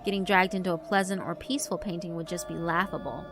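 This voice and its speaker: A woman narrates a horror visual novel in a fairly low voice. Her reading is scripted storytelling. Music plays clearly underneath. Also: steady tone, fast talker. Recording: music behind the voice. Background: background music.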